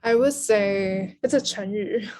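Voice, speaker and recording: A woman's voice: mid-range voice, slow, dark tone. A woman from Taiwan speaking as a guest in a casual interview, explaining things in a conversational way. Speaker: guest, literary translator.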